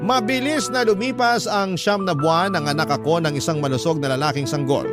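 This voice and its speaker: A man narrating a scripted first-person life story for a radio drama. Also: on the high side. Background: music.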